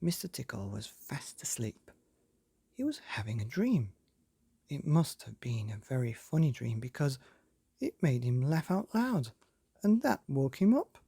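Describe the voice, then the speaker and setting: A man reads a children's story in a mellow, husky voice with a lot of creak. His pitch swings widely as he leans into dramatic pauses and speaks straight to his young listeners. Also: sing-song, no echo. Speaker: storyteller, podcast reader. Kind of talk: expressive children's bedtime story reading.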